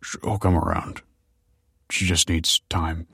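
A man's voice: sits low, a little slow, a bit bright, slightly rough, dry recording, studio quality, exaggerated voice. A man voicing a blunt, embittered new father in an audiobook. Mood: subdued, tender. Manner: snapping, blunt. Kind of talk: audiobook character acting.

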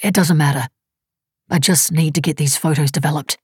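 A woman narrator voicing an injured, hunted man who talks in a hoarse, urgent, pleading rush. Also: a little high, steady tone, moderate pace, dry recording, studio quality. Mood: frantic urging. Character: injured hunted young man, frantic and desperate.

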